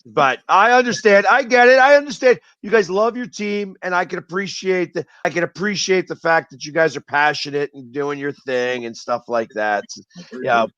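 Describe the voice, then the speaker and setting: A man with a Philadelphia accent hosting a boastful, teasing sports chat, talking high and forceful. The sound is dry and a little dull. He brags that he has the louder voice. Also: normal speed, no echo. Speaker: host. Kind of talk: casual chat.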